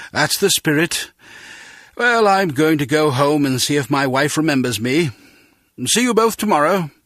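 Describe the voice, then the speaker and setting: A man voicing an avuncular older spymaster in a video game, courteous, measured and wry, with a husky voice. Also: on the high side, expressive, a bit bright, dry recording, studio quality. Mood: kindly, wry.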